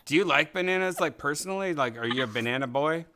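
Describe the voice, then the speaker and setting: A man hosting a podcast, teasing and joking in rambling deadpan chat while hanging out in a virtual world. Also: on the high side, fast, noisy background. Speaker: podcast host.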